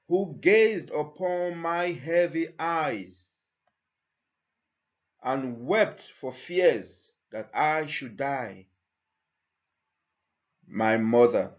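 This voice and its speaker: A man reciting poetry slowly and deliberately, with measured pauses between phrases. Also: a little high, highs cut off. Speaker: church member reading a poem.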